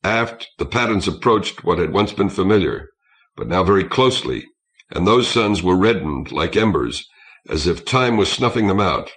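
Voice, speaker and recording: A man's voice: low-pitched, sing-song, moderate pace, fairly bright tone. A man reading a science fiction novel aloud as scripted audiobook narration.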